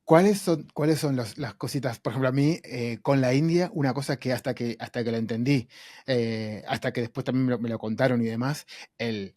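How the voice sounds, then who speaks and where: A man hosts a podcast in a medium-pitched voice, recorded close and dry. He chats informally, feeling his way through phrases and repeating words as he goes. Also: brisk, a little mellow, no room sound. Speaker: podcast host. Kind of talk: casual chat.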